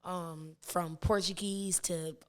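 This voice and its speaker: A raspy-voiced woman in her twenties, a rapper talking as a podcast guest, rambling through her story with many fillers and restarts. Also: lowish voice, fairly even intonation, slow pace. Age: 20s. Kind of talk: casual interview chat.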